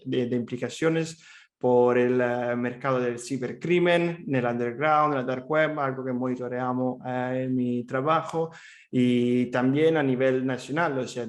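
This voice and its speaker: A young man from Rome speaks with a clear Italian accent in a voice of middling pitch. He gives a friendly, loosely paced conference presentation in a language that is not his first. He often pauses on fillers and starts phrases over. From Italy, Rome. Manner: friendly and chatty, with many fillers and repeated restarts. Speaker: cyber threat intelligence analyst presenting at a summit.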